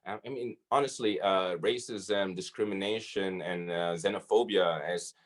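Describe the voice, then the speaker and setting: A man's voice: low voice, moderate pace. A man, a hip hop artist raised in the Philippines, Saudi Arabia and Syria, talking casually as a guest with slang and some Arabic phrases. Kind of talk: casual interview chat.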